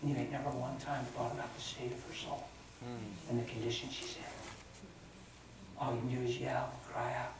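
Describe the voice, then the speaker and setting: A grave, quiet voice of God given by a preacher, low and measured, barely above a murmur. Heavy room echo and distance surround it, with ambient noise underneath. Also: male voice, creaky, natural voice. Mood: calm rebuke. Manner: low, hushed, calm. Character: God speaking calmly and gravely. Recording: echoey hall from far off.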